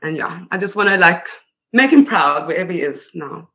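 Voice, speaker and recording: A woman's voice: fairly low pitch, expressive, moderate pace, sharp tone. A young South African woman with a South African accent, chatting as a guest in a casual interview and laughing often.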